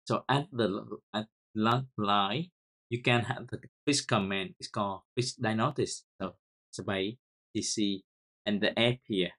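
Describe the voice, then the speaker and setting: A man with a Vietnamese accent walks through a coding tutorial in a lowish voice. His delivery is halting and he often repeats words as he types. He speaks English as a second language. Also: a bit bright. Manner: halting, repeats words while typing key commands. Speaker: software tutorial host. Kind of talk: screen-recorded coding tutorial.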